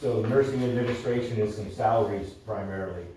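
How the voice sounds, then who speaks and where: A man's voice: on the low side, a little fast, warm tone. Male board member, analytical and questioning in discussion. Distant pickup, faint noise behind. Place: indoor public board meeting room. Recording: echoey meeting room, distant mic, faint noise.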